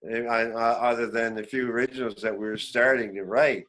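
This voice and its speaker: A man's voice: mid-range voice, fairly quick. Older Canadian man reminiscing casually. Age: 70s. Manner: rambling, animated storytelling. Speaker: veteran musician, interview guest. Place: remote online call.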